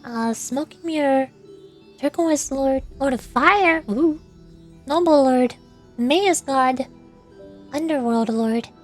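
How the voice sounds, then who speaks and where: High-pitched woman streamer, chatty. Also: slow pace, pauses often. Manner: chatty, stumbles over hard names, reading chat aloud. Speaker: VTuber game streamer. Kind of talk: casual livestream chat while gaming. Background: music.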